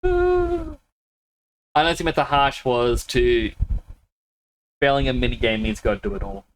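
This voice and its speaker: A man's voice: medium pitch, wildly swooping pitch, a little slow, slight background noise, rough recording. A man streaming a video game, chatting casually with viewers, laughing often and a little sniffly with a cold. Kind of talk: casual live-stream chat.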